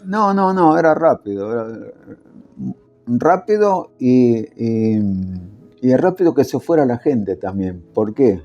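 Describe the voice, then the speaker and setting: A man's voice: mid-range voice, lively intonation, a little slow, a little mellow, dull audio, soft background music. An older Italian-born man recalling his working years in a casual interview, hesitant and full of fillers. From Italy. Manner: hesitant, many fillers and restarts. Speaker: interviewee, former factory worker.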